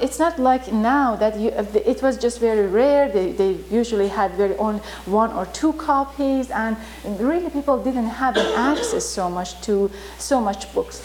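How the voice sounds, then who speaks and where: A woman from Iran whose first language is Turkish tells a story in an informal talk, halting with many fillers. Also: a little high, darkish tone, poor audio. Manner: halting, many fillers, vivid retelling with acted quotes. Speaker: storyteller teaching about Rumi.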